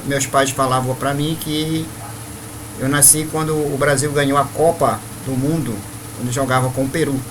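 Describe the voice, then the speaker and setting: A man in his fifties from northeastern Brazil, telling his spiritual life story to viewers at an unhurried pace with frequent tag questions. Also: mid-range voice, noisy background. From Maranhão, Brazil. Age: 50s. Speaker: spiritual leader.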